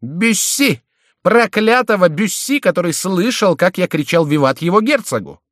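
A male narrator plays a battered young courtier in a storybook tale. The voice is high and swooping, full of indignant exclamations and loud complaint, as if every wrong were a scandal. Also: lively intonation, brisk, dry recording, clean studio sound, close to an everyday voice. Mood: exasperated. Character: outraged, battered young nobleman, a king's favourite, loudly complaining. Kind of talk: audiobook character voice.

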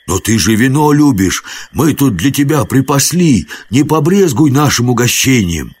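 A man voicing a rough, wily, hearty warrior from a folk fantasy audiobook, with rustic, coaxing colloquial speech. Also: mid-range voice, sing-song, moderate pace, a little mellow, dry recording, pitched above the performer's own voice.

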